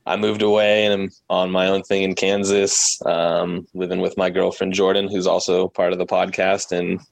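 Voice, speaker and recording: A man's voice: low voice, little pitch movement, normal speed, vocal fry. A young American man chatting casually as a podcast guest, rambling a little and self-deprecating. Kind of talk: casual chat.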